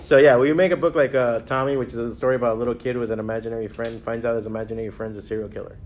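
Miami comics guy on a con panel, animated storyteller, does little voices for quotes. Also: mid-range voice, racing. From Miami area, USA. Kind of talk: casual convention panel talk. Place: convention panel room.

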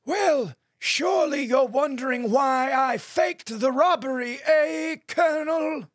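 A man's voice: very high-pitched, takes it slowly, dry recording, studio quality. A man acting a megalomaniac villain tycoon in an audio drama, declaiming grandly and theatrically. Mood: smug, scheming. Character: megalomaniac male villain tycoon turned self-declared god.

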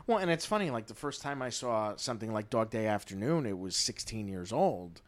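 A man's voice: fairly low pitch, big pitch swings, a little fast, no room sound. A man chatting casually on a film podcast, rambling with lots of "you know" and "I mean.". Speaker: podcast guest, film commentator.

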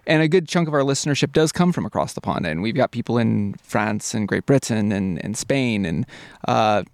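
A man's voice: on the low side, animated, fairly quick, no room sound, studio quality. A man hosting a podcast, talking in easygoing, upbeat banter. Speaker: podcast host. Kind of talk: casual podcast chat.

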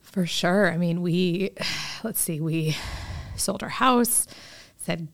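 A woman's voice: on the low side, slow, gravelly. A woman in her thirties co-hosting a podcast in a casual, conversational and lightly teasing way. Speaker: podcast co-host interviewing.

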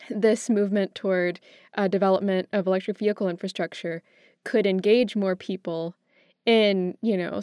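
A woman's voice: medium pitch, a little mellow, no room sound, studio quality. A young woman talking reflectively in a casual panel discussion, explaining her points with care. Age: young adult. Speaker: podcast panelist.

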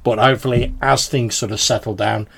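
A man's voice: mid-range voice, steady tone, a little fast, gravelly, a bit bright, a little hiss or hum. A man hosting a podcast, chatting informally and casually as he replies to listener messages. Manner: informal, chatty, often says "dude". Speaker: podcast host. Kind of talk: casual podcast talk responding to listener voicemails.

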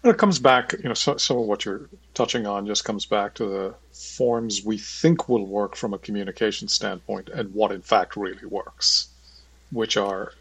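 A man with a low, creaky voice hosts an interview in a dry, close-sounding space. His delivery is conversational yet measured, with long and fairly formal phrasing. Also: fairly bright tone, no echo, low-quality recording. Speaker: podcast host, works in finance.

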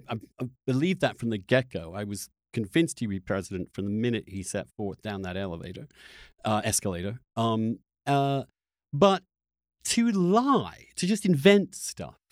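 A man's voice: mid-range voice, no room sound, studio quality. A man hosting a spontaneous political debate, combative and insistent, stressing his points and stammering into them. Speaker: podcast host.

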